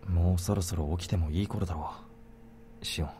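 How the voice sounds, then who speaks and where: An actor voicing a gentle young man who looks after a sleeping girl, muttering softly to himself in game voice acting. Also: sits low, fairly even intonation, rapid-fire, slight background noise, close to an everyday voice. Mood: quiet.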